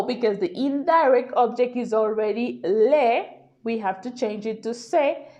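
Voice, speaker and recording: A woman's voice: on the high side, darkish tone. A woman language teacher giving an informal grammar lesson, explaining patiently and often checking in with the learner.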